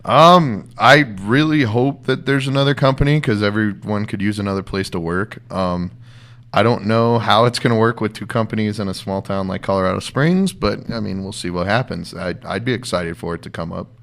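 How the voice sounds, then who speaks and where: A man, a professional wrestler, talking as an interview guest in a rambling, halting way with frequent laughs. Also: on the low side, vocal fry, a bit bright. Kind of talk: casual interview conversation.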